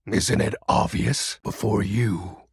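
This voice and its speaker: A man playing a melodramatic, brooding lord of darkness in a video game, with a raspy, gravelly voice and grandiose delivery. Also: fairly low pitch, fairly even intonation, slow, no echo, studio quality, exaggerated voice. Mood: ominous, grandiose. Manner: grandiose, archaic phrasing with sudden casual asides. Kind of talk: theatrical video game voice acting.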